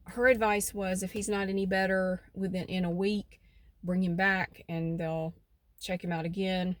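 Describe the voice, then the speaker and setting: A woman's voice: on the low side, flat delivery, normal speed, no room sound. A middle-aged woman with a central North Carolina accent, rambling chattily in a folksy vlog, her nose a bit stuffy. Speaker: vlogger. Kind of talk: casual vlog chat. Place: outdoors by her house.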